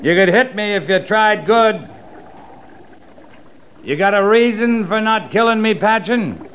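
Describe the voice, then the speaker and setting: An actor with an American accent playing a stoic, fearless cavalry captain, terse and plain-spoken, in 1950s Western radio style. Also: male voice, sits high, unhurried, lo-fi sound. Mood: steady. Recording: noisy 1950s radio recording.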